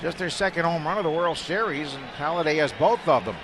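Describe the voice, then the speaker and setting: A man with a fairly high voice and an American accent calls a baseball game live for radio. He is excitable on big plays and conversational between pitches, with plainly audible stadium noise behind him. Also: fast. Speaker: radio baseball play-by-play announcer. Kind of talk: live baseball play-by-play commentary. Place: ballpark broadcast booth.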